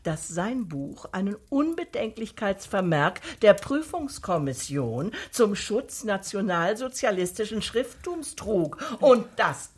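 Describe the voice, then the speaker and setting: Radio drama role: gushing, self-righteous literary society lady, highly animated, emphatic delivery. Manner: effusive, emphatic. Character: gushing, self-righteous female book-club devotee of party literature. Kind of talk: German radio drama acting.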